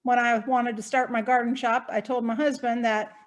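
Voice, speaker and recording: A woman voicing a proud, eccentric old woman, rambling folksily through her stories. She has a light Southern country twang and a slightly high voice. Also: little pitch movement, moderate pace, natural voice. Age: 70s. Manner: folksy, chatty, laughs often, rambling. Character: spunky eccentric old Hawaiian-born woman, eighty, proud. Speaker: fictional character being interviewed. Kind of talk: improvised in-character interview.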